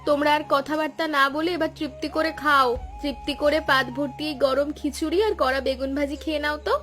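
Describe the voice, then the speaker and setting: A woman plays a bustling young village wife in a cartoon tale. Her voice is high and she talks fast. She is caring and a little bossy, with light music underneath. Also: level tone. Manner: brisk, caring, gently bossy. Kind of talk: cartoon story dialogue.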